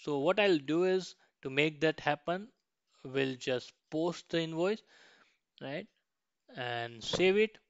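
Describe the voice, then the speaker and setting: A man teaches a software process step by step in an informal screen-share style. His voice is clear and smooth at a medium pitch, captured close and dry on a slightly dull, lo-fi microphone. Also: slow, no echo. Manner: frequently ends points with a questioning "right". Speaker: software tutorial instructor.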